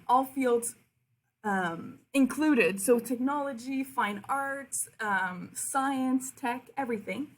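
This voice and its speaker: A woman in her twenties from Quebec City speaks with a French Canadian accent, French being her first language. Her voice sits a little high. She presents in a friendly, conversational way, talking straight to her listeners as a university liaison officer. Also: husky. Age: 20s. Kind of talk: virtual open house presentation.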